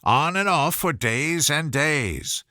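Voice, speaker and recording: An aloof frog footman from a children's storybook, acted out by a male reader. He is solemn, dim and stubborn, talking on without hurry. The voice is bright with lively pitch movement, in a dry recording. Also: dry recording, heavily acted. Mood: aloof, matter-of-fact. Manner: solemn, unhurried, oblivious.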